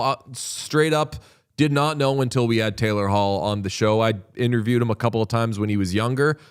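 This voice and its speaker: A man's voice: on the low side, clean tone. A man hosting a podcast, chatting casually and animatedly about hockey, swearing freely. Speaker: podcast host. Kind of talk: casual sports chat.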